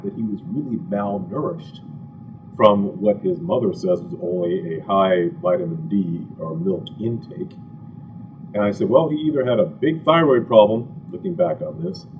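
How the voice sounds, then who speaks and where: A man from Ghana giving an animated, conversational health lecture to a live audience, full of asides and rhetorical questions. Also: on the low side, normal speed. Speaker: physician giving a health talk. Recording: distant, dull, slightly noisy room recording.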